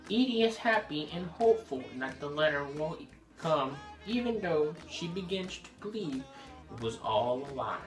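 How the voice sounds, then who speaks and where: Man playing a lively old husband storyteller, echoey. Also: a little high, normal speed. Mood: storytelling. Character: rambling old spouse storyteller, mischievous and blunt. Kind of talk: amateur comic storytelling. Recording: echoey room, music behind.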